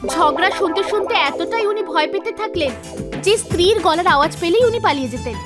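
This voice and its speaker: A woman narrator reading a scripted animated story for children. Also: very high-pitched, fairly quick, music in the background.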